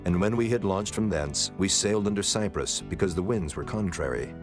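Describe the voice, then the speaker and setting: A man's voice: low voice, animated, fairly quick. A man narrating scripture from a script in a formal, solemn manner. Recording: prominent music bed under voice.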